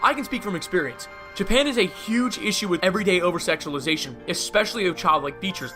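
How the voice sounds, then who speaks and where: A man's voice: high voice, lively intonation, quick pace, bright, forceful tone. A man narrating a scripted video essay, with casual asides, over music. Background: faint music.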